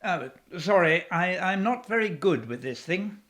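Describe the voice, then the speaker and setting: Casting: philosophical woman doctor of science, resolute, lecturing, lively intonation, for audio drama. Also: lowish voice, very noisy. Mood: regretful. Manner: measured, lecturing. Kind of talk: radio play acting.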